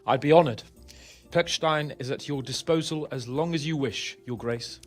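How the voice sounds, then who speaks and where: A man's voice: on the high side, normal speed, soft background music, natural voice. A man voicing a courteous young nobleman offering his castle formally.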